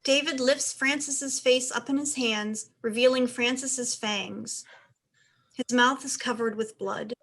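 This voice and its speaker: A woman's voice: a little high, steady tone, measured pace, creaky, fairly bright tone. A woman reading stage directions as narrator, in scripted narration for a play.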